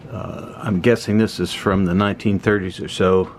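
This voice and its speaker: A man giving a casual hands-on explanation as a hobbyist host, pausing mid-phrase as he thinks aloud. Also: deep voice, fairly even intonation, a little hiss or hum. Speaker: host of a vintage electronics repair channel.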